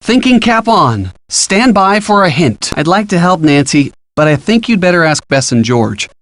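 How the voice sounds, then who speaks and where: An actor playing a helpful, upbeat college-age boyfriend with an American accent, giving casual, encouraging detective hints in an adventure game. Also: male voice, high voice, very animated, moderate pace, fairly bright tone, no echo, clean studio sound. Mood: curious, encouraging. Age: young adult. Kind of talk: scripted game hint dialogue.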